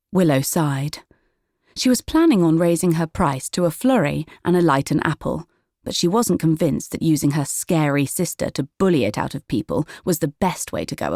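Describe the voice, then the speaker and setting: A woman narrates a children's audiobook. Her voice sits low, and the recording is dry with no room around it. She reads scripted storybook prose. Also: creaky, a little mellow, no room sound.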